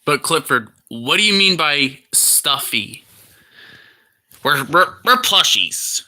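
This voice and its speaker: A man talks as a plush toy character, rambling along slowly with lots of stumbles and restarts. His voice is bright and a touch high, with an edgy crudeness to the jokes. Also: expressive. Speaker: plush toy puppeteer on a livestream.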